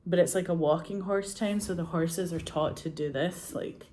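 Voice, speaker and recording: A woman's voice: fairly low pitch, fairly even intonation, moderate pace, mellow. A Scottish woman telling stories in a rambling, chatty way, laughing often and saying "like" a lot. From Scotland. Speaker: actress and filmmaker. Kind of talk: casual chat.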